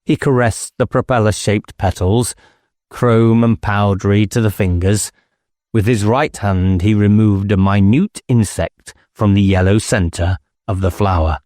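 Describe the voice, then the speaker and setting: A male audiobook narrator with a fairly low voice, reading literary fiction at a very unhurried pace. His storytelling is measured and dramatic, captured close and dry. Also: fairly even intonation, a little mellow, no room sound.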